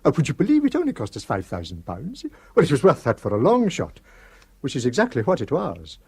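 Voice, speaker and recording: An actor playing an earnest Shetland father in a radio drama, speaking in a measured way. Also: mid-range voice, wildly swooping pitch, dark tone, slightly rough, no room sound, full character voice. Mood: explaining. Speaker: islander on the committee.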